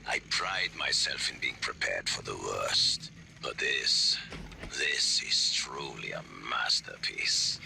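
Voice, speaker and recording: Very deep gravelly mercenary commander. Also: male voice, very animated, takes it slowly, pushed and bright, a little hiss or hum, full character voice. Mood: mocking menace. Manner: menacing, sardonic. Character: ruthless mercenary commander, cold and mocking. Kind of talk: video game voice acting.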